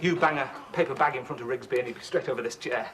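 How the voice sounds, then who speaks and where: An actor playing a naive young medical student who nervously bluffs, hesitant and overeager, in a 1970s TV sitcom. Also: male voice, medium pitch, rapid-fire, a crowd in the background, lightly acted. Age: young adult. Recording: hissy old TV audio.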